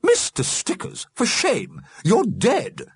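A man's voice: sits high, huge pitch swings, takes it slowly, slightly rough, no room sound, voice pushed up, exaggerated voice. A man voicing a prim, bossy dead matron who is easily shocked, in a storybook reading. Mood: indignant, prim. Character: prim, scolding dead matron, female ghost.

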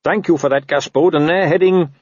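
A man's voice: fairly high pitch, fairly quick, darkish tone, no room sound, lo-fi sound, pitched above the performer's own voice, close to an everyday voice. A man voicing a mild, courteous, unflappable watchman in an audiobook, speaking politely and levelly. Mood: level, restrained. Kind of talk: audiobook character voice.

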